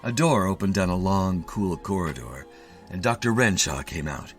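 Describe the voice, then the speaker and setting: A man reading a comic short story dramatically, with theatrical, expressive delivery. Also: low-pitched, moderate pace, slightly rough, faint music behind. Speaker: story reader.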